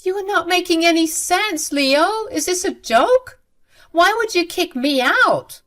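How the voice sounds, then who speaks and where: A female narrator puts on an indignant middle-aged mother-in-law from a family drama story. The voice sits high, with exclaiming, irritated questions and a defiant air of innocence. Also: moderate pace, a bit bright, close to an everyday voice. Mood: shocked, indignant.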